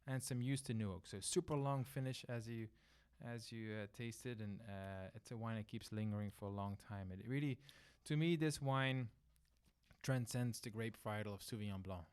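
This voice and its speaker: A man's voice: on the low side, normal speed, darkish tone, clean tone. A middle-aged man from the southern Netherlands, a Dutch speaker talking English, explaining at length as an interview guest with fillers and restarts. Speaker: winery managing director, guest. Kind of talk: conversational interview.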